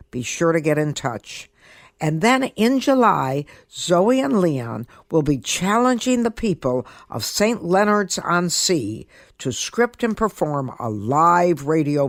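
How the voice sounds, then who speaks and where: A woman's voice: sits low, gravelly, no room sound, clean studio sound. A woman hosting a podcast, presenting and commenting in an emphatic way, often repeating words for stress. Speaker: guest podcast host.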